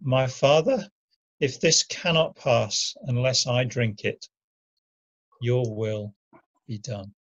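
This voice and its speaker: A man playing a grave, solemn male teacher and prophet in a deliberate scripture drama reading. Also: medium pitch. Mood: sorrowful, solemn. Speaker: amateur reader in church dramatic reading. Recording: dull lo-fi home recording.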